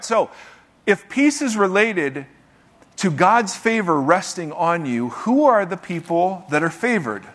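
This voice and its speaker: A man preaching to a congregation, his voice sitting a little high for a man. He reads scripture aloud and breaks off into loose, joking asides to the people in front of him. The delivery is relaxed and conversational. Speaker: pastor. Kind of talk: sermon. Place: church with live congregation.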